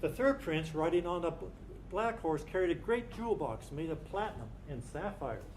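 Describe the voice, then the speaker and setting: A man with a notably high voice tells a story as part of a staged reading. There is faint noise in the live recording. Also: expressive, normal speed, a little mellow. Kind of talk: dramatized literary storytelling.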